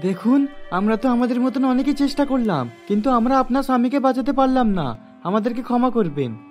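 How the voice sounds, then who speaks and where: High-voiced, solemn doctor. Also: male voice, animated, a little fast, soft background music. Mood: somber. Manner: formal apologetic delivery. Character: solemn male doctor, apologetic.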